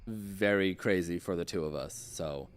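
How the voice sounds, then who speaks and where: Low-voiced man hosting a casual interview. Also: a little slow, noisy background. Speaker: show host.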